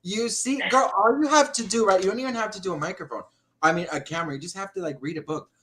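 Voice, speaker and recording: A man's voice: high voice, quick pace, sharp tone, a little hiss or hum. A man hosting a casual livestream chat, effusive and gushing, calling guests honey and girl. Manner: chatty, effusive, calls people honey and girl. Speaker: livestream host.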